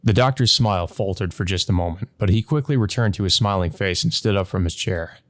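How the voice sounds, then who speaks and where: A man's voice: sits low, brisk. A man narrating a visual novel as a let's play host, reading aloud and adding hesitant commentary of his own.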